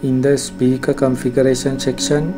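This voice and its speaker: A man delivers a scripted tutorial voice-over in a measured, formal manner. His pitch is mid-range and stays very even from phrase to phrase. Music plays clearly underneath the instructional narration. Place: voice-over for a screen recording.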